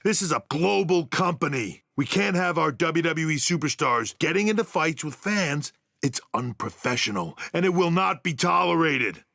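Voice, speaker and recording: Stern, dry-recorded American boss. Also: male voice, on the high side, vocal fry, bright, forceful tone, no echo, full character voice. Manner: blunt, scolding. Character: stern, authoritative male wrestling company boss. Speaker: voice actor as wrestling executive. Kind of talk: video game cutscene acting.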